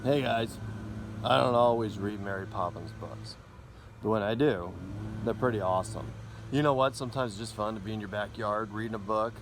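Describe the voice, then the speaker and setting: A man reads a children's storybook aloud in an expressive way, stumbling now and then, outdoors in a backyard. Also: lowish voice, normal speed. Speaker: school principal reading a story. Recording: noisy outdoor backyard recording. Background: neighbourhood ambience, mowers, dogs, kids.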